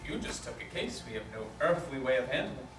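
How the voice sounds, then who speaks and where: A man's voice: medium pitch, very animated, fairly quick, natural voice. A man reading the part of an earnest, bossy lawyer given to speeches in an amateur script table read. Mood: exasperated. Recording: distant, echoey, noisy room.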